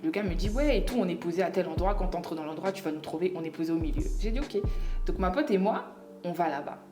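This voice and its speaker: Young woman vlogger, lower voice, animated storytime delivery, soft music bed. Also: quick pace. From Belgium. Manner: chatty, animated, laughs often, mixes in English phrases. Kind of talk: casual storytime monologue.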